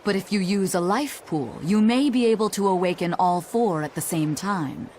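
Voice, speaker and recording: An actress playing a learned, unhurried woman scholar of ancient lore in a video game, speaking in a measured way. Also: medium pitch, darkish tone, slight background noise, natural voice. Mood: composed. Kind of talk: expository video game voice acting.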